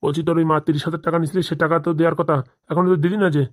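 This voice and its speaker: A woman's voice: low voice, steady tone, rapid-fire, no echo, studio quality, lightly acted. A woman voicing an exasperated, placating husband and father in a comedy cartoon. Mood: stern. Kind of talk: Bengali comedy cartoon dialogue.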